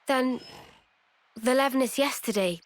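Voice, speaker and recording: A woman acting a pragmatic, bossy young soldier in a video game cutscene, brisk and commanding. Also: high-pitched, no room sound. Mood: concerned. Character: bossy, pragmatic young female soldier, exasperated but encouraging.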